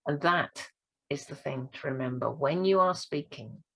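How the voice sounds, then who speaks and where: A woman speaking coach giving an encouraging training talk, her voice croaky and hoarse this week. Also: low-pitched, moderate pace, fairly bright tone, rough recording. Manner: encouraging, earnest. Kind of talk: direct-to-camera training talk.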